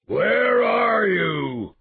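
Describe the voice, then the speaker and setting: A man voicing a huge, furious savage man-eater with a hoarse, animal-like, bellowing roar. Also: high-pitched, big pitch swings, far from the mic, phone-quality sound, voice pushed up, full character voice. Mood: menacing rage. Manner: bellowing, drawn-out shouts.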